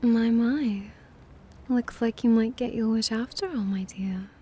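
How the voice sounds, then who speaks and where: A woman's voice: fairly high pitch, unhurried, dark tone, breathy edge. A woman playing a playful, condescending vampire mistress who looks young, drawling languidly in an ASMR roleplay. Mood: appraising.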